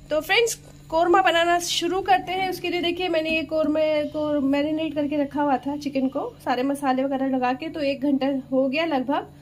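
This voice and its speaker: A woman with a high voice walks her viewers through a recipe step by step in a chatty way. She is recorded on a phone over the stove in a home kitchen. A noisy recording leaves kitchen sounds behind her. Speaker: cooking channel host. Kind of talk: step-by-step cooking demonstration.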